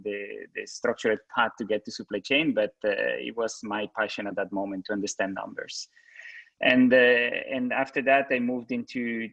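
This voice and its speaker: A man who grew up in Switzerland, a company executive answering questions in a webinar, talking haltingly with many restarts. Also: on the low side, fairly bright tone, dry recording, highs cut off. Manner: hesitant, many restarts and fillers. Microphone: video call.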